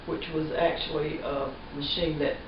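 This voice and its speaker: A woman's voice: sits low, little pitch movement, measured pace. A woman in her fifties from North Carolina, a former textile mill worker chatting in an interview and laughing easily. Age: 50s. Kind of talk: casual interview. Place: living room. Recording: dull roomy audio from a far camera with background noise.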